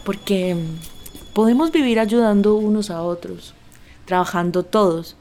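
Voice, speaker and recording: A woman's voice: medium pitch, a little slow, a little mellow. A woman giving spoken documentary testimony in a scripted style. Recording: noticeable urban background noise.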